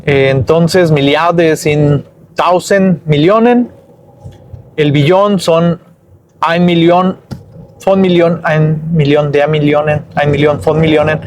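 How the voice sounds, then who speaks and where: A man talks through a lesson while walking home outdoors. His voice sits a bit high, and he wanders, backtracks and corrects himself in a loose, chatty way. Faint outdoor ambience hums underneath. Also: steady tone, slow, a little hiss or hum. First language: Spanish. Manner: rambling, self-correcting, chatty. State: dry-mouthed. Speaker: German teacher and vlogger.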